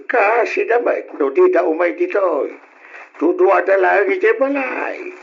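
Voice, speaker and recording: An easygoing older family man in an old radio serial. He has an Ilocano accent, a high creaky voice and a very lively, swooping melody. The recording is dull and lo-fi. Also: measured pace, heavily acted. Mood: contented. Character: easygoing, contented older husband and father. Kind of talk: old-style Ilocano radio drama acting. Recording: dull, noisy lo-fi radio sound.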